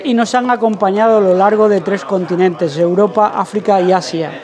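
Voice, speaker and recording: A man narrates a hands-on tutorial as a presenter, explaining things with halting pauses. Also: high-pitched, a little mellow. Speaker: presenter of a motorcycle channel. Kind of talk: tutorial voice-over commentary.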